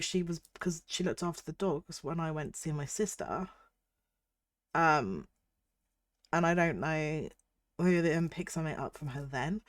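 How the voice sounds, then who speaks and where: A young British woman streaming as a VTuber, chatting casually and reading chat aloud while her voice is husky from a cold. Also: low voice, dry recording, studio quality. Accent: British English. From United Kingdom.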